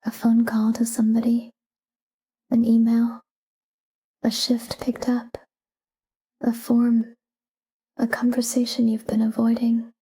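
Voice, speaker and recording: Breathy, whispering woman guiding sleep meditation. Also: on the high side, poor audio. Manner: calm, unhurried, short phrases with pauses. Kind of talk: soft guided sleep meditation, whispered talk-down.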